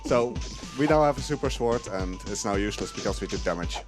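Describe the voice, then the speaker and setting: A man giving relaxed live commentary on his own speedrun, chuckling often and filling gaps with "uh". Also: on the low side, quick pace, music in the background. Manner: relaxed, chuckling, filler-heavy play-by-play.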